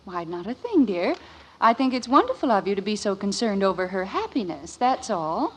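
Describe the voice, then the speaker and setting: Arch, lively radio housewife. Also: female voice, medium pitch, normal speed, slightly rough. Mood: sweetly pointed. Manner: arch, sweetly sarcastic. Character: dry-witted, gently needling suburban wife and mother. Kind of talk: 1950s radio sitcom acting.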